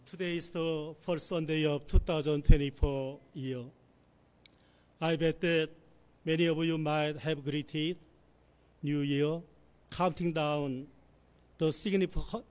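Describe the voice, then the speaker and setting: A pastor in his forties with a slightly husky voice, preaching a sermon slowly and carefully from a church pulpit. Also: a little high, a little mellow. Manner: slow, measured preaching with careful phrasing. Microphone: pulpit microphone.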